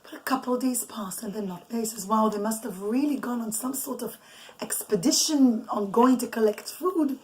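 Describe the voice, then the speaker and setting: A mother telling an informal, improvised religious bedtime story in an animated, conversational way, mixing in Hebrew and Yiddish terms. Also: a little high, normal speed, rough recording. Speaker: mother telling bedtime stories to children and listeners. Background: noise, clicks, small children nearby.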